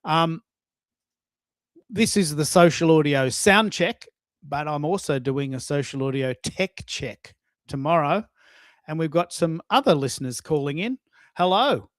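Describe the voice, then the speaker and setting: A man's voice: fairly high pitch, unhurried, no room sound. Australian man hosting, dry close sound. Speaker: live audio show host. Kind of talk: casual unscripted live chat.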